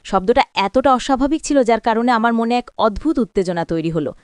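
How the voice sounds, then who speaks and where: A woman's voice: fairly high pitch, lively intonation, a little fast, a little mellow, clear, resonant tone, no room sound. Woman narrating a story, close dry sound. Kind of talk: scripted first-person story narration.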